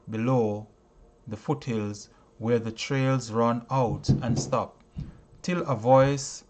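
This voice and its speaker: A man reading a self-help audiobook in a deliberate manner, breaking sentences into short phrases with frequent pauses. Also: mid-range voice. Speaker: audiobook reader, teacher.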